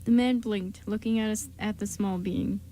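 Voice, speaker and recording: Female narrator, scripted storytelling, radio play, mid-range pitch, faint background noise. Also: steady tone, normal speed, mellow.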